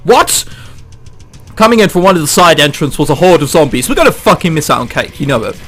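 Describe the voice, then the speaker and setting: A man with a high, bright voice does let's play commentary on a visual novel. He swings widely in pitch, incredulous and sweary between passages read aloud, with music behind him. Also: animated, brisk. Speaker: gamer reading and reacting to a visual novel. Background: game background music.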